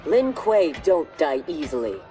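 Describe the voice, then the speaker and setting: Cool, low-voiced ninja fighter. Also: female voice, very animated, measured pace. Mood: determined. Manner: terse, confident, unflappable. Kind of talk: video game cutscene acting. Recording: loud music, distant-sounding.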